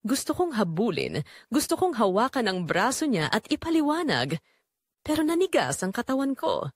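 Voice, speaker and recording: A woman's voice: a little high, sing-song, moderate pace, dry recording, clean studio sound. A woman narrating a scripted first-person audio drama in an emotive, sorrowful storytelling manner.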